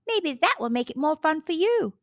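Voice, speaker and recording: Woman as high-pitched, helpful little beetle friend. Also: very animated, normal speed, no echo, studio quality. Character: helpful, cheerful dung beetle friend offering tips. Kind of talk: children's storybook character voice.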